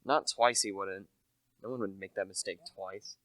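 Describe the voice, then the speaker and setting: A man's voice: deep voice, steady tone, normal speed, a bit bright, no echo. A young man playing a humble, earnest salesman turned amateur sleuth in a radio play, plain-spoken and a little hesitant. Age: young adult.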